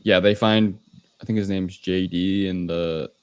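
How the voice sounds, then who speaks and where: A male podcast co-host with a very deep voice chats casually about a film. He recounts things loosely and a bit hesitantly, and the sound is dry and free of room echo. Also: steady tone, a little slow, a little mellow, smooth. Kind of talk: casual film review chat.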